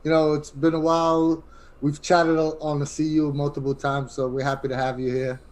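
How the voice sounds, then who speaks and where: Higher-pitched man co-hosting a podcast. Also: little pitch movement, moderate pace, darkish tone. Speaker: podcast co-host. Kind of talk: casual interview chat.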